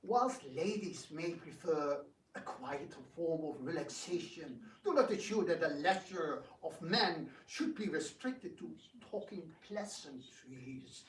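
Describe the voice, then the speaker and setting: Florid, high-voiced scheming prince, echoey. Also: male voice, expressive, a little slow, few pauses. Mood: amused condescension. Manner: florid, exaggerated courtesy. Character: proud, scheming Indian prince, a grandfather, falsely gracious host. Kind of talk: theatrical dramatic reading. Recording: echoey room, distant mic, background noise.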